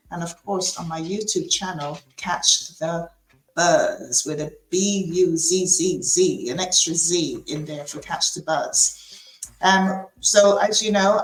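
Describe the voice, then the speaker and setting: A woman hosting a podcast interview, chatting casually with lots of fillers and restarts. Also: fairly low pitch. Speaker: podcast host. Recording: echoey room.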